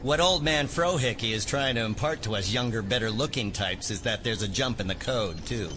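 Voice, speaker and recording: A man's voice: medium pitch, fairly quick, lightly acted. An American man playing a laid-back, cocky young hacker with a teasing, slangy delivery in an audio drama. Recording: music behind.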